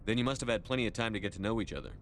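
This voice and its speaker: Game role: steady military commander male actor, quick, direct, inquiring, composed, slight background noise. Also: on the low side, close to an everyday voice. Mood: curious, calm. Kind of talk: video game dialogue acting.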